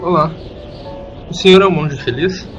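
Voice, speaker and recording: A man's voice: fairly high pitch, normal speed, faint music behind, lightly acted. A man playing a naive, apologetic young orphan boy in an audio drama, timid, polite and eager.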